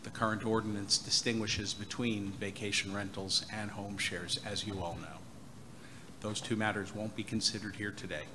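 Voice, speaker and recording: A man serving as city prosecutor, addressing a board formally and in a measured way. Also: lowish voice, flat delivery. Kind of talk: formal legal notice. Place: city council chamber. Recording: noisy, reverberant chamber audio.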